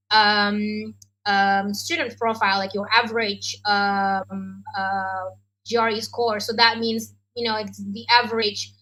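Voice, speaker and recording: A woman's voice: medium pitch, pushed and bright, poor audio. An Indonesian woman, speaking English as a second language, answers questions as an education adviser in a chatty way full of ums and likes. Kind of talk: online Q&A session.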